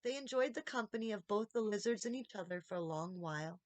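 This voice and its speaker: A woman reading a children's story aloud as an expressive audiobook narrator. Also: mid-range voice, fast. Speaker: audiobook reader, channel host.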